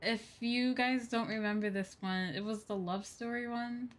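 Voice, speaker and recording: A woman's voice: a little high, fairly even intonation, a little slow. A woman chatting casually and expressively as she commentates a game livestream. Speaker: streamer. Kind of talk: casual game livestream commentary, reading on-screen dialogue aloud. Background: game music.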